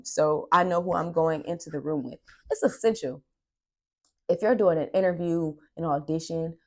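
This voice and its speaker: Young female vlogger, low voice, casual and animated storyteller who leans dramatically on key words. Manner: animated, dramatic, acts out dialogue and emphasizes words. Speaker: podcast host and aspiring actress. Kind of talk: casual storytelling vlog chat.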